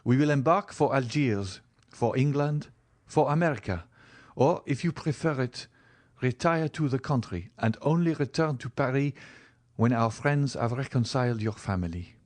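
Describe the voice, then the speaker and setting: A man reading an audiobook, voicing a passionate young man who speaks in an earnest, measured and bitter way. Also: medium pitch, lively intonation, a little mellow, voice pushed up, close to an everyday voice. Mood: urgent.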